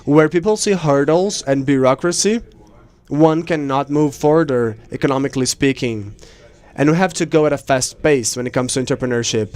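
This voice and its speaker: A man interpreting conference speeches live, in measured phrases with pauses mid-sentence. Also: medium pitch, a little mellow, clean tone. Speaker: simultaneous interpreter.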